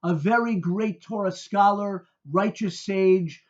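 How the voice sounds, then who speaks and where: A man's voice: high-pitched, slow pace, highs cut off. A man speaking as a rabbi in a sermon-like religious talk, emphatic and heated, stacking up adjectives with dramatic stress.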